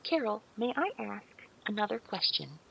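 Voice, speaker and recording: A woman's voice: medium pitch, big pitch swings, normal speed, gravelly, fairly bright tone, slight background noise, poor audio. A woman playing a polite, childlike artificial intelligence in an audio drama, speaking earnestly with precise, formal, literal wording. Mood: curious.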